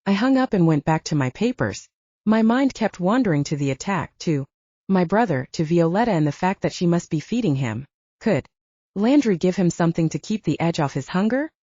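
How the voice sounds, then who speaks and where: A woman's voice: fairly low pitch, lively intonation, a little fast, dark tone, no echo, highs cut off. A woman reading scripted first-person fiction as an audiobook narrator.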